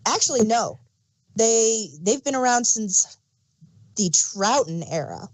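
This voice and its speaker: Unhurried woman explaining casually, dry close sound. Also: fairly high pitch, animated, darkish tone, dry recording, poor audio. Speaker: podcast co-host reviewing audio dramas.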